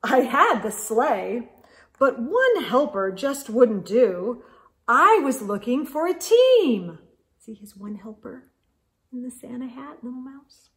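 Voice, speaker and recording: A middle-aged woman hosting a read-aloud storytime for young children, with an animated, exaggerated delivery and hushed asides. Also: a little high, slow pace. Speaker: children's storytime host and reader. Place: indoor room lined with bookshelves.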